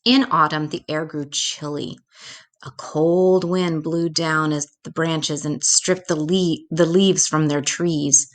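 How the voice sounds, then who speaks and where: A woman reading a children's storybook aloud expressively, with dramatic pauses. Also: low-pitched, fairly even intonation.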